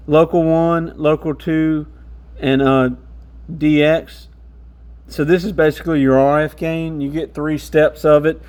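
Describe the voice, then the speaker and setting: Male reviewer, slightly high-pitched, informal and practical, talking through gear as he handles it. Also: a little mellow. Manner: informal, hands-on explaining. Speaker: radio shop reviewer. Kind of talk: casual product walkthrough.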